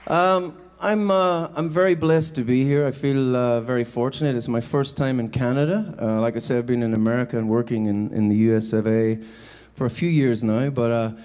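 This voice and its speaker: A man's voice: mid-range voice, brisk, a little mellow, clean tone. Northern Irish man telling stories on stage. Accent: Northern Irish, Belfast area. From Northern Ireland. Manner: informal, conversational, anecdotal. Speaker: keynote speaker and musician. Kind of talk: live keynote storytelling. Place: on stage before a live audience.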